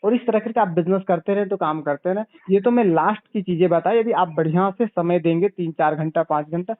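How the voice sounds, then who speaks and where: A man giving a didactic sales pitch as a consultant over the phone, repeating points and checking back with questions. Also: a little fast, no room sound. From Bihar, India. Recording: narrow, tinny phone call recording.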